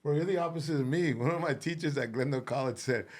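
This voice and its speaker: A man hosting a comedy podcast, excitable and laughing often, swearing freely and calling his guest bro. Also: on the high side, fast. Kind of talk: casual podcast chat.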